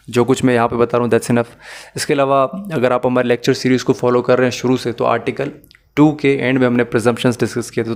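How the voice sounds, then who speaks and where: A man with a Pakistani accent lecturing as a law teacher, explaining methodically, rephrasing key points and mixing in English terms. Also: medium pitch.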